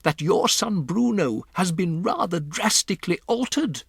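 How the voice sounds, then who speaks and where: A man voicing an old grandmother who speaks in a measured, earnest, patient way. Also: sits high, animated, vocal fry, slightly rough, dry recording, voice pushed up, exaggerated voice. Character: calm, firm old grandmother.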